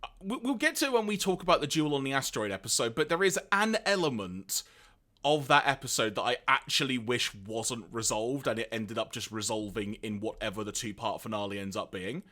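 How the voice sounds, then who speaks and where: A man co-hosting a podcast in casual chat, his voice slightly hoarse, animated and chatty with big swings in pitch. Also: fairly quick. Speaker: podcast co-host.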